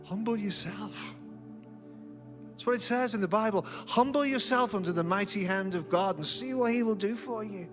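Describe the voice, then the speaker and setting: A middle-aged male pastor with a notably high voice, preaching in a church. He tells humorous, self-deprecating stories. Music plays clearly underneath. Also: normal speed. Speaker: senior pastor. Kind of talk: sermon. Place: church auditorium.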